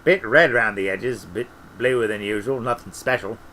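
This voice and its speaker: Man playing ancient wizard, storybook servant, gruff, defensive, colloquial, bright forceful edge, faint background noise. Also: lowish voice, normal speed. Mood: offhand. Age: older. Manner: colloquial, ungrammatical, tacks on "see?" and "you know".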